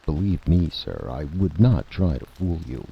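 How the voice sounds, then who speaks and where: A man's voice: rumbling low, big pitch swings, unhurried, creaky, breathy edge, exaggerated voice. A man voicing an earnest, stiff envoy of a tiny nation in a radio drama, formal and insistent. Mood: earnest, proud. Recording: dull, noisy vintage radio recording.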